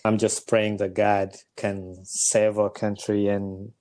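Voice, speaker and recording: Man from Uganda, young podcast host, low warm voice, relaxed earnest chat. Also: slow pace. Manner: relaxed, earnest, frequent fillers and affirmations. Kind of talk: casual interview.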